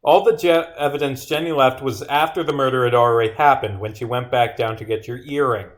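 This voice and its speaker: Male streamer and indie developer, medium pitch, theatrical script read-through mixed with muttered asides. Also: steady tone, a little fast. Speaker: streamer and visual novel developer. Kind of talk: livestream of reading own game script aloud with commentary.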